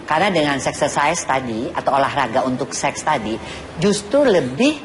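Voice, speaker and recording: A woman's voice: extremely low pitch, very animated, moderate pace. A woman doctor giving informal health advice on a talk show, explaining step by step with everyday comparisons and repeating her points. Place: television studio with audience. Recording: noisy TV studio with loud audience. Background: audience noise and laughter.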